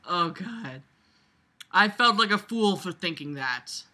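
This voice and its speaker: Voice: medium pitch, slow pace. A person reading aloud and breaking off for casual, sarcastic running commentary, blunt, sweary and emphatic. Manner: animated, emphatic, jumps from muttering to loud outbursts. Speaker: reader reviewing a book aloud.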